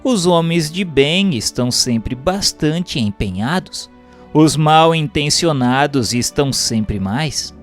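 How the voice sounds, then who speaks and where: A Brazilian man narrates a formal text in a slow, didactic manner. His intonation moves widely, giving the measured reading plenty of shape. Music plays softly behind his voice. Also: on the high side, expressive. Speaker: audiobook narrator reading a Spiritist book.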